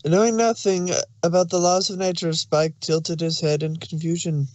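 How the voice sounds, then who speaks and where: A man's voice: a little high, level tone, normal speed, darkish tone, no room sound. A man reading scripted story narration as the narrator.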